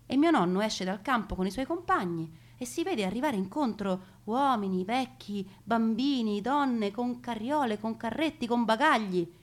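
A woman performs a narrative piece as a storyteller. She speaks expressively in the first person, placing emphatic pauses and setting out details word by word. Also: fairly high pitch, lively intonation. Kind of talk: theatrical first-person narration of family war memories.

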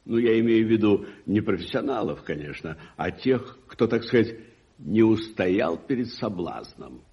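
An actor playing a wealthy, self-assured older gentleman uncle in a radio play, with a theatrical, condescending manner. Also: fairly low pitch, animated, moderate pace. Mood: curious, expansive. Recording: dull muffled 1981 radio recording.

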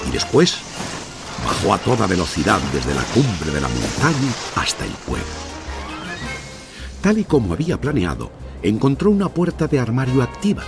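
A man narrating a children's story in an expressive, theatrical scripted style. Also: on the low side.

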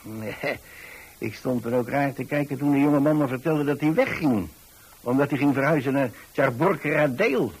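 A man's voice: medium pitch, moderate pace, slightly rough, low-quality recording. An actor playing a kindly older landlord in a radio monologue, chatty and hesitant as he rambles along. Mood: wistful, fond. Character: kindly rambling old pensioner landlord, widower-like homebody.

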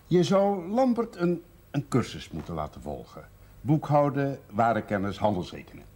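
An actor playing a lonely, blunt man in his seventies in a naturalistic television drama, with a husky voice. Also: medium pitch, huge pitch swings, moderate pace, a little mellow. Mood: businesslike, persuasive. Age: older.

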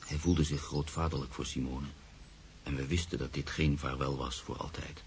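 An actor playing a grave, reflective man who narrates his own story in a radio drama, gravelly and measured. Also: a bass voice, fairly even intonation. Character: Freek, reflective grave man, narrator-protagonist moved by loss. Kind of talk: literary radio drama monologue. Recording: faint background noise.

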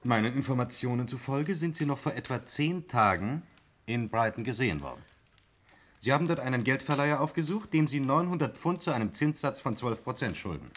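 An actor playing a polite, probing police sergeant in a 1960s German radio play, courteous and insinuating. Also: male voice, mid-range voice, expressive, a little fast, a bit bright. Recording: 1960s radio recording, hiss and clicks.

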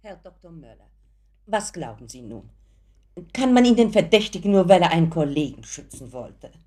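An anxious, doting mother in a radio drama. She is genteel and middle-aged, with a low female voice that swings expressively as she insists with feeling. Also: very animated, normal speed, a little mellow. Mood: anxious, devoted. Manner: polite, emotional, insistent. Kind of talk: German radio play acting.

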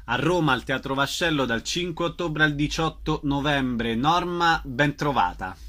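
A man works as a radio host in an interview setting. His voice is bright and forward, pitched a little higher than average for a man. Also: animated, measured pace.

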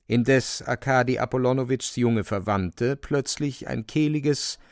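A man's voice: mid-range voice, unhurried, clear, resonant tone, no room sound, clean studio sound. A man narrating a radio drama in a dramatic, wry style, relishing emphatic wording.

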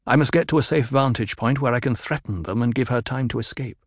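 A man voicing a calm, alert young noble boy in quiet, measured tones. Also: mid-range voice, no room sound, clean studio sound, close to an everyday voice. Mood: tense.

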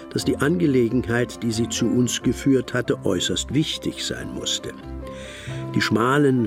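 An actor playing a gentleman narrator who recalls a detective case in measured, literary radio drama narration. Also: mid-range voice, vocal fry. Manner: measured, literary, deliberate storytelling. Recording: music behind the voice.

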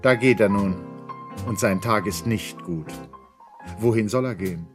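An actor as a wry, musing narrator of a German radio play, given to playful asides. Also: male voice, on the low side, a little slow, over music, natural voice.

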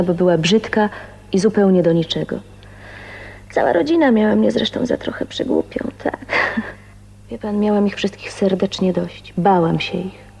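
A woman's voice: mid-range voice, dark tone. An actress playing a shy, wistful woman of twenty-nine in love, speaking intimately and hesitantly in a radio drama. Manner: dreamy, emotional, hesitant phrasing with breathy whispers. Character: shy, dreamy 29-year-old spinster, wistful and newly in love. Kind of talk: radio drama acting, intimate monologue and dialogue. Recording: faint noise, 1980s radio drama.

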